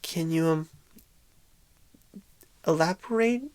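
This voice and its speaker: Woman acting as nervous female job recruiter, ASMR roleplay, lowish voice, slow stumbling delivery, timid, awkward. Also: background noise. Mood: unsure, hesitant. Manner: stammering, halting, hesitant, apologetic and awkward.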